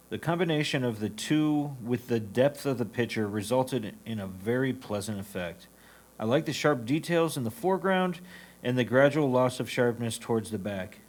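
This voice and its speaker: A man reading written critiques aloud as a reader for a competition judge. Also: mid-range voice.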